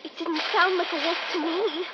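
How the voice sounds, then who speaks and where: A woman's voice: very high-pitched, fairly even intonation, moderate pace, lo-fi sound. An actress playing a frightened, breathless teenage girlfriend in an old-time radio drama. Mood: shaken. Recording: band-limited vintage radio sound, noisy effects.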